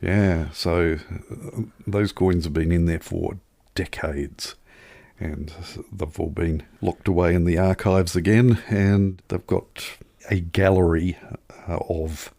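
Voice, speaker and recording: A man's voice: sits low, fairly even intonation, slow, gravelly, dark tone, dry recording. A man chatting casually as a podcast co-host, telling anecdotes in wry, wordy turns of phrase.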